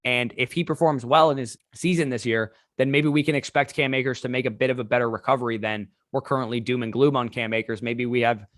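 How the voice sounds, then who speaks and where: A man with a slightly hoarse voice, hosting a solo fantasy football recap with quick run-on analysis. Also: mid-range voice, fairly even intonation, fairly bright tone, dry recording, clean studio sound. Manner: fast, run-on analysis with restarts. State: hoarse, lost voice. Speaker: fantasy football podcast host.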